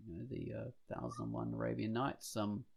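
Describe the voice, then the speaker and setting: Man with a noticeably creaky voice. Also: fairly low pitch, sing-song, brisk. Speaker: podcast co-host. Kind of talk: casual, rambling panel chat.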